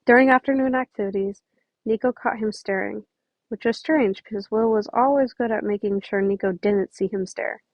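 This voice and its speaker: A woman's voice: mid-range voice, fairly even intonation, moderate pace, no room sound, lo-fi sound. A woman narrating a story informally as an amateur reader, sometimes stumbling and rereading phrases.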